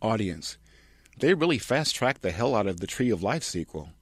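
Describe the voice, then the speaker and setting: A man's voice: fairly low pitch, very animated, normal speed, slightly rough, no room sound, studio quality. A man reading scripted comedic narration as a host, in a snarky manner. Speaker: host and reader.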